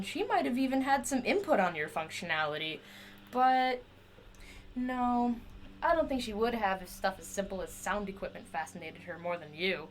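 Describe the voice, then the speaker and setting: A high, lively female voice with faint noise behind it. An actress plays an eccentric inventor in an audio drama, eager and self-justifying. She rambles and coaxes as if to a companion who will not answer. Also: natural voice. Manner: rambling, wheedling, talks to a silent companion.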